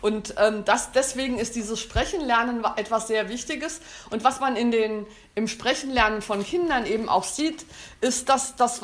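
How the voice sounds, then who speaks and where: A woman giving a thoughtful spoken talk, often pausing with fillers and restarting her sentences. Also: on the high side. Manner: hesitant, many fillers and restarts. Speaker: speaker on language and society.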